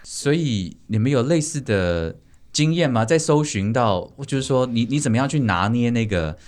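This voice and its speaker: A man's voice: medium pitch, lively intonation, moderate pace, darkish tone. A man hosting a podcast in a conversational style, sometimes mixing in English words. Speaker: podcast host.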